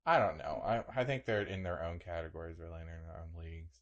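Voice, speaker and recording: A man co-hosting a podcast in casual chat, playful and joking, with an expressive way of talking. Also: deep voice, fast talker, muffled recording. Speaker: podcast co-host.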